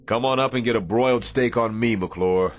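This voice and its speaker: A man playing a commanding Navy destroyer captain in a radio drama, measured and dryly wry. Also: mid-range voice, a little hiss or hum, close to an everyday voice. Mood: grave.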